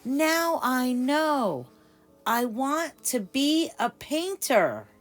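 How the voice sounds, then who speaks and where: Lady doing a little boy mouse from a storybook, dreamy n eager, slow, faint music behind. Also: high voice, sing-song, pitched above the performer's own voice, heavily acted. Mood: excited. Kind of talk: storybook character voice.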